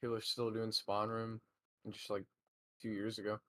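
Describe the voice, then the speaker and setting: A man playing along in voice chat, low-key and mostly asking quick questions. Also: level tone, fairly bright tone. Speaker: co-player in voice chat. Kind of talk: casual gaming chat.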